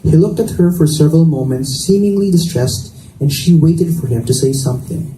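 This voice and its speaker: A man's voice: fairly high pitch, brisk, warm tone. A man reading scripted narration from a romance novel aloud in a roomy indoor space. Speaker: reader. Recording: echoey room, distant mic, faint noise.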